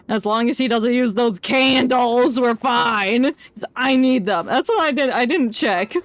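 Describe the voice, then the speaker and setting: A woman streams a video game and comments as she goes. She talks at a slow pace in a casual, rambling, irreverent way, with faint game music behind her. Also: a little high. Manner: irreverent, rambling, reacting aloud.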